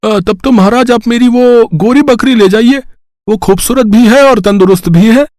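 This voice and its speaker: A man's voice: extremely high pitch, moderate pace, a little mellow, no room sound, clean studio sound. A man playing a humble village goat seller, deferential and eager to please. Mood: obliging. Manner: deferential, hesitant openings.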